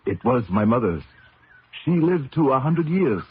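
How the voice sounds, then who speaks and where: An actor playing an old, superstitious Cajun race mechanic in a radio drama, solemn, with clipped broken grammar and a Cajun accent. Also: male voice, medium pitch, very animated, a little slow. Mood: solemn, protective. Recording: noisy, tinny old radio broadcast.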